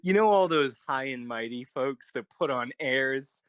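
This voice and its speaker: A man co-hosting a podcast, playful in casual chat, tossing in quick jokey asides. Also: mid-range voice, expressive, fairly bright tone, smooth, no echo. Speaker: podcast co-host.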